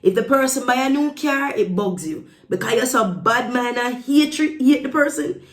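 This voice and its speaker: A woman's voice: fairly high pitch, moderate pace, reverberant. A woman giving casual opinion commentary to viewers, speaking emphatically and repeating phrases for stress. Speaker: vlogger.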